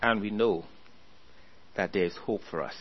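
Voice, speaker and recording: A man preaching a sermon, earnestly exhorting his listeners and reading scripture aloud slowly. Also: lowish voice, husky, dull audio.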